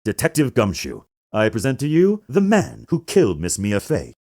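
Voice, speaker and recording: Pompous villain with hugely swooping pitch. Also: male voice, huge pitch swings, moderate pace, mellow. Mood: smug. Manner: pompous, theatrical, verbose. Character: pompous, menacing, manipulative male businessman villain. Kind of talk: video game fan dub.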